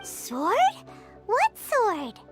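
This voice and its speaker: A woman voicing a small, childlike fairy companion in a video game, perky and curious, with a squeaky voice. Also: huge pitch swings, very slow, a bit bright, full character voice. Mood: curious surprise. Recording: game music behind the voice.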